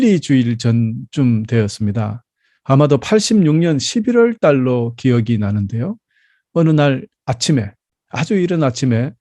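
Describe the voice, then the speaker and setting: A man in his fifties from South Korea preaching a Sunday sermon as a pastor, telling personal stories in a measured, explanatory way. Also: medium pitch, dark tone, no echo. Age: 50s.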